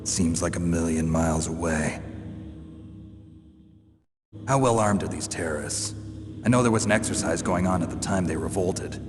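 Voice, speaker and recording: An actor playing a gruff, cynical soldier in a video game, terse and sardonic with a gravelly, husky voice. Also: male voice, low-pitched, moderate pace, long pauses, lightly acted. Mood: wary, dry. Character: gruff, cynical male special-forces operative, middle adulthood. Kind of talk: dramatic video game dialogue. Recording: echoing, reverberant, noisy game audio clip.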